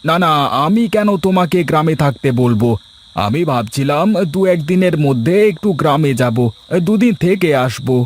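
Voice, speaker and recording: A man's voice: fairly high pitch, expressive, normal speed. A man voicing an earnest, exasperated well-off city husband in a dramatised moral story.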